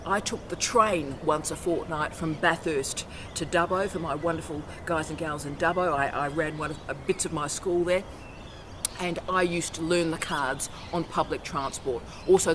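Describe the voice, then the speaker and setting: Forthright woman talking outdoors. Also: fairly low pitch. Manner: emphatic, forthright, brisk. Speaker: university academic. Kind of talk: instructional vlog talk. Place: outdoors on a university campus by the ocean. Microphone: self-filmed camera outdoors. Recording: outdoor noise with birds and traffic.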